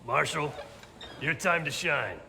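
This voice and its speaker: A man acting a gruff handler coordinating a covert team in a video game. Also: fairly high pitch, expressive, slow, sharp tone, big-room sound, a little hiss or hum, heavily acted. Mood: focused.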